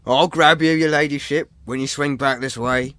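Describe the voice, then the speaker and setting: A man's voice: on the high side, fairly even intonation, moderate pace, pushed and bright, no room sound. Pompous chairman, dry close sound. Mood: pompous politeness. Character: pompous, exasperated male airline chairman. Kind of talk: radio comedy acting.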